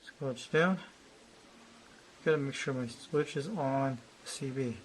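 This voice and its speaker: A man's voice: mid-range voice, slow pace, low-quality recording. A man presenting a casual, unscripted hobby show-and-tell in a rambling, repetitive way. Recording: noticeable background noise.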